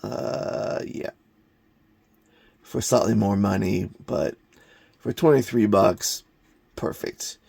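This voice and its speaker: A man giving an unscripted hands-on gadget review in a halting, plain-spoken, matter-of-fact way. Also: on the low side, gravelly, a little mellow, husky, no echo. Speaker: product reviewer, hobbyist maker.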